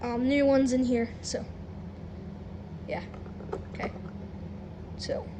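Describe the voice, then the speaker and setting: A woman with a high voice talks slowly and haltingly, as if to herself, while narrating an unboxing. Her phrases are short and casual. The handheld home recording carries clear noise. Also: little pitch movement. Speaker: unboxing video host. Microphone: handheld camera or phone, no tripod.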